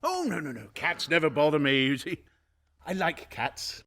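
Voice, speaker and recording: An actor playing a nervous, guilty murderer in a radio play, stammering and repeating himself in an over-eager, ingratiating way. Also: male voice, on the high side, big pitch swings, normal speed, a bit bright, exaggerated voice. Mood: flustered denial. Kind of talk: theatrical radio play acting.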